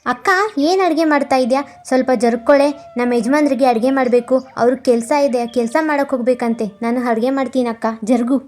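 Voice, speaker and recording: A woman voicing a gentle, eager young newlywed wife in a cartoon moral story, with a plain, homely manner. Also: high voice, steady tone, brisk, natural voice. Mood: coaxing.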